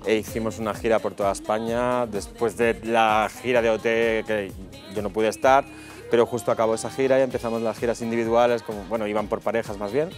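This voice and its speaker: Man, 40s, lowish voice, warm rambling guest talk, faint music. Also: steady tone, brisk. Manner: fond, repeats words as he talks. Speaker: guest singer. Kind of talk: casual interview talk. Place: TV studio set.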